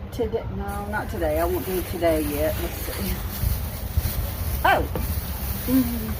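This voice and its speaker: A woman's voice: medium pitch, noisy background. A woman in her fifties, chatting casually about her thrift finds, rambling with frequent restarts. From United States. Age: 50s. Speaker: thrift haul vlogger. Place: at home.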